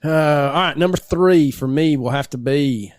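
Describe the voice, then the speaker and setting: A man's voice: fairly high pitch, moderate pace, dry recording, studio quality. A man co-hosting a podcast in casual, playful, teasing conversation. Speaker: podcast co-host. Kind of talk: casual banter.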